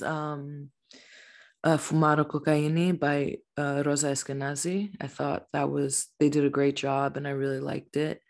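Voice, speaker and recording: Chatty woman podcast host. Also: sits low. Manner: friendly, wraps up with repeated thanks. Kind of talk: casual interview chat.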